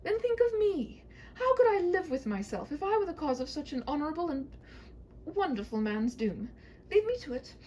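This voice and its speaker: A woman's voice: high voice, big pitch swings, normal speed, a little mellow, slight background noise, voice pushed up. A woman voicing a prim, tender-hearted young princess in a comic fairy tale, earnest and formal. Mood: earnest, worried.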